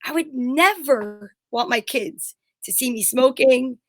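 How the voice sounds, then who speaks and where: A woman's voice: sits high, lively intonation, slow pace, no echo, studio quality. A woman co-hosting a casual chat show, talking in a blunt, emphatic, rambling way and swearing freely. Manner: rambling anecdotal storytelling. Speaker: show co-host.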